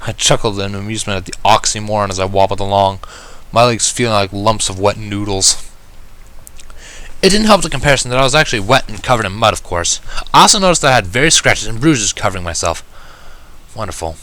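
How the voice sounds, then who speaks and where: A man narrating a first-person story in an audiobook style, reading in a measured, wry manner. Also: lowish voice, bright, forceful tone, low-quality recording. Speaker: fan fiction reader.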